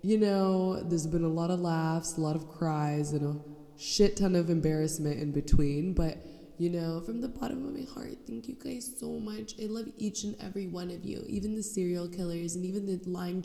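A woman's voice: fairly low pitch, moderate pace, creaky, dark tone. A young woman hosting her own podcast, nasal from a cold, talking in a blunt, sarcastic, swearing rant. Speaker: podcast host. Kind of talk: casual solo podcast rant. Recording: echoey roomy sound, mic held wrong.

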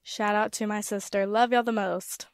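A teenage high school girl, a guest in a casual interview, chatting with frequent fillers. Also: fairly even intonation, normal speed, smooth, dry recording, clean studio sound. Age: teens.

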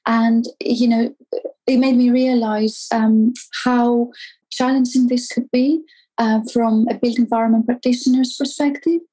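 Female academic guest, Greek accent, highish mellow voice, careful hesitant explanations. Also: steady tone, unhurried, rough recording. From Greece. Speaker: academic researcher, interview guest. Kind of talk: thoughtful conversational explanation.

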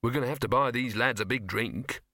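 A man's voice: medium pitch, fairly quick, bright, forceful tone, no room sound, clean studio sound. A man voicing an exasperated, sarcastic young man in an audiobook. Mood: firm. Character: young man, exasperated, sarcastic, quick to smooth things over. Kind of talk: audiobook character voice.

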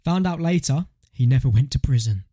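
A man's voice: medium pitch, animated, fairly quick, dark tone, no room sound, studio quality. A young man co-hosting a podcast, bantering casually in a slangy, jokey, incredulous way. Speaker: podcast co-host. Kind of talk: casual banter, reacting to submitted stories.

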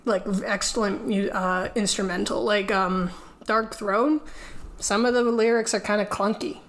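A woman's voice: mid-range voice, steady tone, normal speed, fairly bright tone. Chatty woman rambling about music. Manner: rambling, many "like" fillers and restarts. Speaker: podcast co-host. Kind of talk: casual chat reviewing music.

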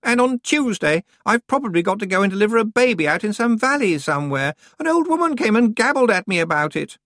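A reader voicing a frantic, bossy teenage girl witch in an audiobook, shrill and whiny, stammering when upset. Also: very animated, fairly quick, a bit bright, no echo, clean studio sound. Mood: fretful.

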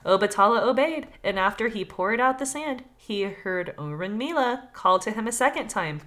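A woman's voice: mid-range voice, lively intonation, moderate pace, fairly bright tone. A woman co-hosting a podcast, retelling a folktale in a casual, sweary, jokey way with lots of banter. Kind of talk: casual comedic storytelling with banter.

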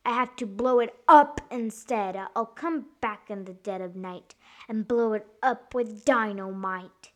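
A woman voicing a greedy, boastful male wolf villain in a children's verse story, menacing and drawn-out. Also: a little high, fairly bright tone. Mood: scheming.

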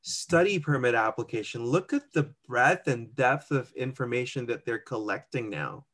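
A man's voice: medium pitch, expressive, moderate pace, a bit bright. A chatty man in the middle of his range talks through a form step by step and thinks aloud as he goes. His tone stays casual and easygoing, and he laughs lightly at his own slips. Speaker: co-host walking through an online immigration form. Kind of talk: casual screen-share walkthrough.

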